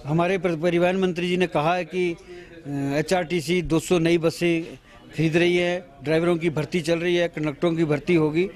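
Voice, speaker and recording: A man in his fifties, a party official, giving a halting press statement to reporters with many pauses and restarts, speaking into a bundle of handheld mics outdoors at a bus station. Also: fairly high pitch, level tone, a little mellow. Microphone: bundle of handheld news mics.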